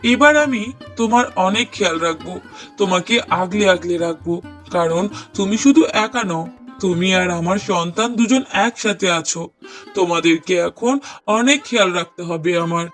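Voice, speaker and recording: A man's voice: sits high, unhurried, fairly bright tone. A man playing an affectionate young husband in a cartoon, speaking earnestly and tenderly with emphatic repetition. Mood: tender, reassuring. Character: affectionate young husband, delighted father-to-be, gentle and doting. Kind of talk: cartoon dialogue acting. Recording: voice over prominent music bed. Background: music.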